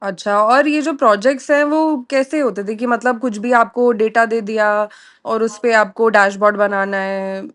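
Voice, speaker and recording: A woman's voice: fairly high pitch, fairly even intonation, normal speed. A woman with an Indian accent interviewing casually over a call, inquisitive and often rephrasing her questions. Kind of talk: casual question-and-answer conversation. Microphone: call recording.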